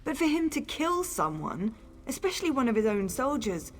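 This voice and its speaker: Casting: young female game fugitive, feisty, wry and guarded, expressive moving pitch, light music in the background. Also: normal speed. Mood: disbelieving, troubled. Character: wary, sardonic, spirited young female fantasy fugitive. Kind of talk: video game character dialogue.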